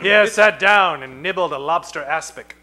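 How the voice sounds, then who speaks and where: A man playing a hard-boiled pulp story narrator, deadpan and dramatic, in a comic radio drama. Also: fairly high pitch, moderate pace, faint music behind.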